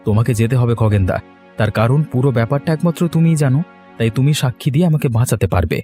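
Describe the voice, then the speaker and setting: A man's voice: mid-range voice, fast talker, dark tone, faint music behind, lightly acted. A man playing an honest, aggrieved young villager in a cartoon story, earnest and complaining. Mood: pleading. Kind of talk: dramatic cartoon story dialogue.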